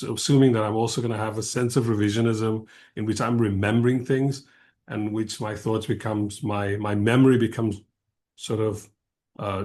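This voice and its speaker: South African-born man in his fifties, lowish voice. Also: darkish tone. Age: 50s. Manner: thoughtful, digressive, reflective. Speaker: guest speaker, professor. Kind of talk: academic panel talk.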